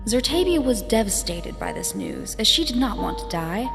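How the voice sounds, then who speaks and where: A woman's voice: fairly high pitch, sing-song, moderate pace, gravelly. A woman narrator telling a myth in a solemn, scripted storytelling style. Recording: long reverb, music behind.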